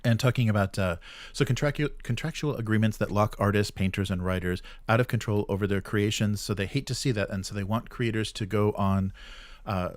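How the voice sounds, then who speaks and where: A man's voice: on the low side, a little fast, no room sound. A man co-hosting a gaming show, talking casually through the news and often searching for his words. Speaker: co-host of a weekly tabletop gaming show.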